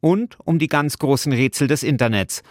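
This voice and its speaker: A man's voice: mid-range voice, normal speed, no room sound, clean studio sound. A man narrates a podcast from a script in the first person, as its host. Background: music.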